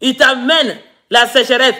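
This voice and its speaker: High, bright-voiced fervent male preacher. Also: steady tone, normal speed. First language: a Congolese language. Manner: fervent preaching with shouted exclamations. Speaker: pastor. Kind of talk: sermon.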